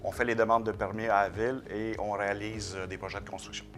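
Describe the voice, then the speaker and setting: A man's voice: lowish voice, little pitch movement, quick pace, fairly bright tone, faint music behind. A man with a Québécois French accent, a company president explaining his work in a casual interview, matter-of-fact. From Quebec.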